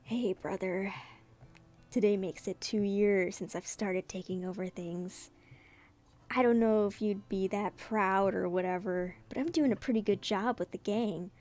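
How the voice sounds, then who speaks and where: A woman's voice: mid-range voice, steady tone, unhurried, a little mellow, soft background music, natural voice. Woman reading as a brooding young gang leader. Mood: brooding, resolute.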